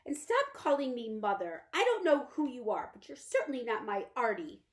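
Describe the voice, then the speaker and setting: A woman voicing a fragile, erratic, imperious wealthy mother who is bedridden. She speaks in a languid, drawn-out way that flares into shrill outbursts. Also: sing-song. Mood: cold.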